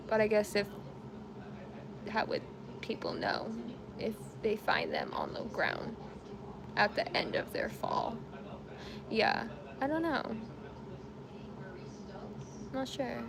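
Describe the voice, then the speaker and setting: Chatty woman with a gravelly voice. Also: on the high side, wildly swooping pitch, takes it slowly, long pauses, a crowd in the background. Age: around 30. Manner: chatty, rambling, laughs easily. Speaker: crochet livestream host. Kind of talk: casual chat while crocheting, reading comments.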